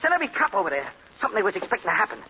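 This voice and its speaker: An actor playing an excitable, loyal male cabbie sidekick with rough, ungrammatical street talk, in 1940s radio acting. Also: sits high, very fast. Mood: excited. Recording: tinny scratchy 1940s radio broadcast.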